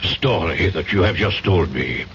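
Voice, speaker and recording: An actor playing a stern, suspicious veteran French police inspector in a radio drama, with a French accent and a formal, measured, pointed manner. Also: male voice, low voice, lively intonation, fairly bright tone. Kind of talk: old-time radio drama acting. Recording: dull old radio broadcast with faint noise.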